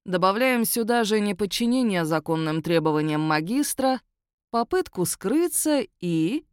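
A deadpan young woman magistrate , as a woman reader plays her, stern and drily ironic, in a medium voice. Close and completely dry, with no room around it. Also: mid-range voice, a little slow, clear, resonant tone, no echo, natural voice. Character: dry, unimpressed young woman magistrate of a werewolf-control unit. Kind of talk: audiobook character voice.

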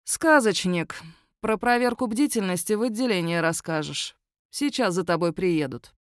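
A woman voicing a dry, unimpressed female magistrate, deadpan and stern with an ironic edge. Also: mid-range voice, normal speed, clean tone, no echo, lightly acted. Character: dry, unimpressed young woman magistrate of a werewolf-control unit. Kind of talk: audiobook character voice.

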